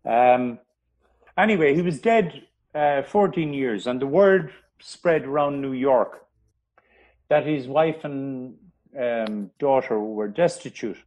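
A man, a historian, telling history in an interview, pausing often with ums and restarting his sentences. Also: medium pitch, darkish tone, rough recording. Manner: hesitant, many ums and restarts. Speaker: historian guest.

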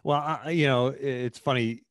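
A man in his forties, a performance coach, answering interview questions in an earnest, animated way from a home office, talking into a studio mic. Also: medium pitch, normal speed, dry recording. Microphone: studio mic in front of him.

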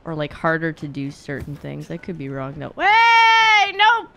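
A young woman hosting a gaming livestream, chatting casually, reacting loudly and swearing freely. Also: sits low, sing-song, unhurried, muffled recording, faint music behind. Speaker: livestream host and speedrunner. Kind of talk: casual gaming stream commentary. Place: indoors, gaming room at home.

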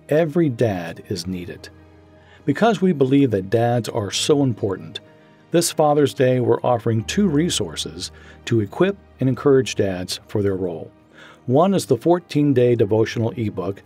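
Mellow, expressive male announcer. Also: mid-range voice, slightly rough. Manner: encouraging, earnest read. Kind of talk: scripted promotional announcement. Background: soft music.

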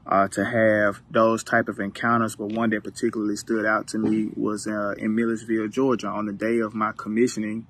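Male interviewee, low and flat-pitched, formal and measured personal account. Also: a little hiss or hum. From Mississippi, USA. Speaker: interviewee, ex-military entrepreneur.

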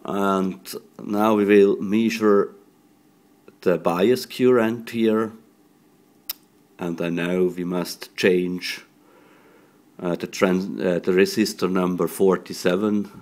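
Slow, low-voiced man giving a technical tutorial. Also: steady tone, darkish tone. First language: not English. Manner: halting, short phrases. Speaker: electronics hobbyist demonstrating a radio modification. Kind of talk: hands-on technical tutorial, improvised. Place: indoors at a workbench.

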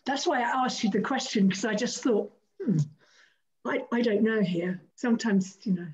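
A woman's voice: a little high, normal speed, a little mellow, muffled recording. A woman hosting a poetry event over a video call, chatting casually as she moderates questions. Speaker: host of an online poetry reading event. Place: indoors at home, on a group video call.

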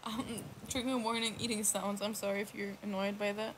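A woman's voice: medium pitch, flat delivery, brisk, background noise. A young woman, a native German speaker, chatting casually on a livestream in a laid-back way with short replies. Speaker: livestream co-host.

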